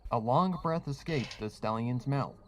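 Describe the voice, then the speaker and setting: A man's voice: medium pitch, measured pace, a bit bright, soft background music. A man reading scripted horror fiction as a storytelling narrator.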